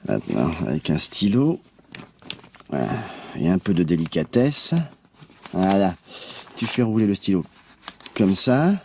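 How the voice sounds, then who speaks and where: A man's voice: low voice, very animated, unhurried, gravelly, long pauses, dark tone, a little hiss or hum, heavily acted. An actor in a French radio drama playing an irritable, cocky married businessman, a grumbling and impatient boss with colloquial, sweary speech. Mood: eager, sly.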